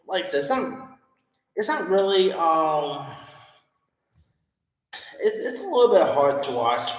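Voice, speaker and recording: Rambling male movie reviewer, echoey room. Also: a little high. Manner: rambling, many fillers and restarts. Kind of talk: casual spoken film review. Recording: echoey room with long reverb.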